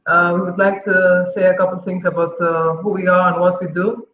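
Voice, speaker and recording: A man's voice: sits high, little pitch movement, fairly quick, background noise. A man from the Caribbean introducing his manufacturing company on a video call, polite with a few hesitations. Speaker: company representative. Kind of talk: casual spoken introduction. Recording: echoey dull video call audio.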